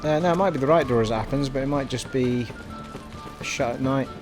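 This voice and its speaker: A middle-aged man from Scotland with a generic English accent gives chatty, self-deprecating live commentary as he plays a game at home. His voice sits at an ordinary middle pitch, with faint music underneath. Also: fairly quick. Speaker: game streamer. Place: home streaming room.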